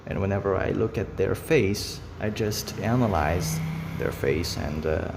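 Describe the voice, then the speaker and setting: A man's voice: low-pitched, unhurried, vocal fry, long reverb tail, very noisy. A Brazilian man whose first language is Portuguese, talking unscripted to camera in English in a rambling way, with many fillers and repeated phrases. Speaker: vlogger.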